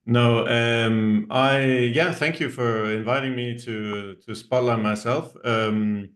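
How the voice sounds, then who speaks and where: A man's voice: mid-range voice, fairly even intonation. A middle-aged Danish man, not speaking his first language, introduces himself and his web agency on a virtual meetup call, with many ums and restarts. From Denmark. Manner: halting, many ums and restarts, trailing off quietly. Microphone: video call.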